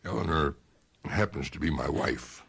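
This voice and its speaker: Deep, gravelly elderly husband. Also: male voice, moderate pace, a bit bright, exaggerated voice. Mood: polite. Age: older. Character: elderly husband in his sixties, courteous, amused. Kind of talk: radio mystery drama acting.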